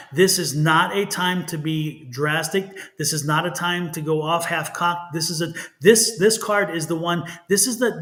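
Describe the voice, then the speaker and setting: A man's voice: a little high, fairly bright tone. A man with a Texan accent giving live tarot readings in a folksy, rambling way, often stopping to correct himself. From Texas. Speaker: tarot reader and show host. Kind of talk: live-stream tarot reading, casual chat.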